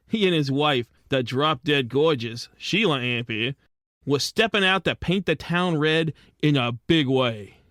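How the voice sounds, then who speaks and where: An actor playing a wisecracking, streetwise penguin private eye who narrates in hard-boiled noir radio drama style. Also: male voice, a little high, a bit bright, no echo, clean studio sound, lightly acted. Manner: streetwise slangy wisecracking delivery. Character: wisecracking penguin private detective, adult male, lovestruck and world-weary.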